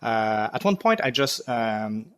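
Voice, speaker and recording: A man's voice: fairly low pitch, measured pace, clean tone. A young man from Quebec with a French Canadian accent, chatting as a podcast guest and explaining his developer setup at an easygoing pace with fillers. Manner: relaxed, explanatory, with frequent fillers. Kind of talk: casual technical chat.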